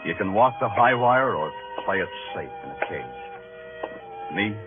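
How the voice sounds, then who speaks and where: A man's voice: deep voice, very animated, slow pace, exaggerated voice. A hard-boiled American police detective from an old radio crime drama. He is weary and wry, with terse, brooding lines that swing expressively, on a narrow vintage recording with music. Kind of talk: 1950s radio crime drama acting, noir narration.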